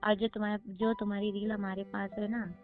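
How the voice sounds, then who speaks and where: Actress as aggrieved girl of eighteen, insistent and accusing, conversational phone drama, dull lo-fi sound with soft music behind. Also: level tone, brisk, lightly acted. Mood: sulky anger. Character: angry eighteen-year-old neighbour girl confronting a man by phone. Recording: muffled, low-fidelity phone-call recording.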